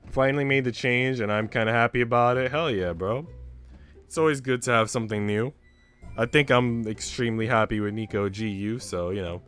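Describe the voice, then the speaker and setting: A man livestreaming, chatting casually with slangy, sweary talk and reading a visual novel aloud, with music softly behind him. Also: medium pitch, fairly quick, clear, resonant tone. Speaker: live streamer.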